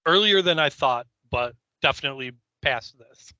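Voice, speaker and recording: A man with a very bright, sharp voice at an ordinary pitch chats casually as a podcast co-host. The recording is dry and slightly dull. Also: expressive, moderate pace, no echo. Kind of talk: casual chat.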